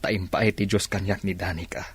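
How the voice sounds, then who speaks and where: A man's voice: low-pitched, flat delivery, normal speed, husky. A man playing a devoted, reassuring father of a blind daughter in an audio drama. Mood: tender, concerned.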